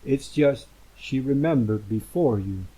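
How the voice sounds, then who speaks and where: A man's voice: mid-range voice, expressive, unhurried, dark tone, breathy edge, low-quality recording. A man reading a poem aloud as a recitation. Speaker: poem reader. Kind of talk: poetry recitation.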